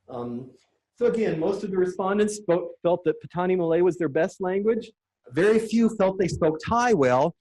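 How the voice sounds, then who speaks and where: Middle-aged guy with a high voice, excited presenter walking a room thru his slides. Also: rough recording. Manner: enthusiastic, lightly joking. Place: conference room.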